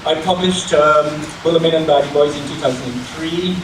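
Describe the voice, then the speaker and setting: A man's voice: on the high side, steady tone, a little fast. A man introducing himself informally as a professor on a panel. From Trinidad. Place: indoor public panel event. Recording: echoey room, distant mic, very noisy.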